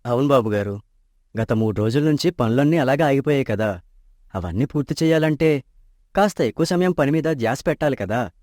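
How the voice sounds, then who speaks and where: A man plays a humble young bookkeeper who speaks with polite respect to his employer. His voice has an ordinary male pitch and sounds dry and close, with no room at all. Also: animated, brisk, darkish tone, no room sound, natural voice. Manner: polite and deferential. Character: honest, modest young shop accountant. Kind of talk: animated storybook dub. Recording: dry close studio mic.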